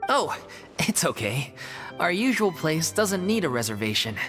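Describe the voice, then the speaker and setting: Casting: gentle, composed troupe member for a game, extremely low voice, reassuring. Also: female voice, very animated, moderate pace, soft background music. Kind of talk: video game voice acting.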